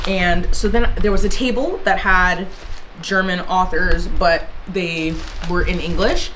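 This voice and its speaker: A woman's voice: fairly low pitch, fairly even intonation, unhurried, a bit bright, a little hiss or hum, rough recording. A woman chatting casually on camera, rambling with fillers and restarts. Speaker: book vlogger. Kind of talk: casual book haul chat. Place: indoors, in Germany.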